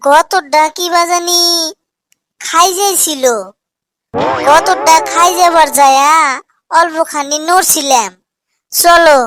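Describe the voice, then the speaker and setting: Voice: high voice, bright, forceful tone, no echo, exaggerated voice. A performer voicing a whining, henpecked young village husband in a comic cartoon, with a rural Bangladeshi accent and an exaggerated, plaintive manner.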